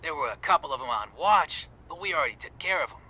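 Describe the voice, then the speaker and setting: An actor in a radio drama playing a cocky, trigger-happy gangster lieutenant with a brash, slangy, nonchalant manner. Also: male voice, a little high, animated, quick pace, pushed and bright, husky, heavily acted. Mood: cocky, persuasive.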